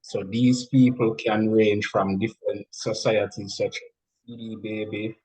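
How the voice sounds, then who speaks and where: A man speaking as a music producer guest, explaining things deliberately, step by step, in an interview. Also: fairly low pitch, little pitch movement, a little mellow, breathy edge, rough recording.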